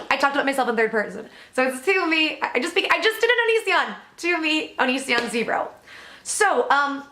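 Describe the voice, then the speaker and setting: Woman vlogger, loud and blunt, swears freely, voice a bit worn; tense, higher-pitched delivery. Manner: blunt and profane, emphatic. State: voice worn from overuse. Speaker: commentary channel host. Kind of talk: vlog commentary update. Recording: echoey room.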